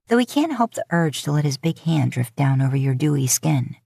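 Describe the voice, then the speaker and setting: A woman's voice: deep voice, gravelly, a little mellow, breathy edge, no echo. A woman narrating scripted romantic fiction as an audiobook-style storyteller, recorded close to the mic.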